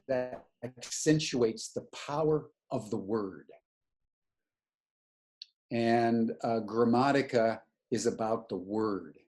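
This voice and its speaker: A man's voice: mid-range voice, a little mellow. Man, reflective lecture, video call, earnest. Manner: earnest, searching, many restarts. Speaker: webinar lecturer.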